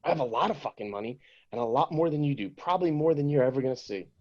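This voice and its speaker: A man's voice: medium pitch, expressive, very fast, a little mellow, dull audio, close to an everyday voice. A man reading an angry, bitter male showrunner in a table read, heated and profane. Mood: scornful.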